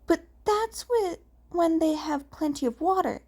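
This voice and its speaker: Woman as hesitant, high-voiced young boy. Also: measured pace, darkish tone. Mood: worried.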